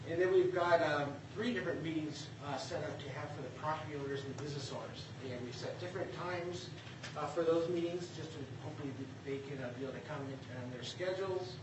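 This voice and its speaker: A man presenting a planning process at a community meeting, hesitant with many fillers. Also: a little high. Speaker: city staff presenter. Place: meeting room. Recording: echoey room, distant mic, background noise.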